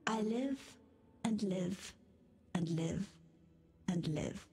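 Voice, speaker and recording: A woman's voice: mid-range voice, very slow, mellow. A woman playing a mad, bitter, undying queen in a video game, muttering and repeating herself theatrically. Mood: mournful, bitter. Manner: unhinged, repetitive, theatrical, muttering.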